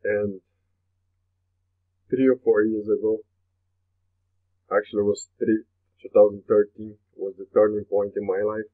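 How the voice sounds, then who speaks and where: A man's voice: fairly low pitch, level tone, a little slow, long pauses. A man from Brazil with a Brazilian Portuguese accent, a native Portuguese speaker, hosting a motivational webinar in an earnest manner and often repeating his phrases. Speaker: webinar host. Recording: tinny phone-like webinar audio.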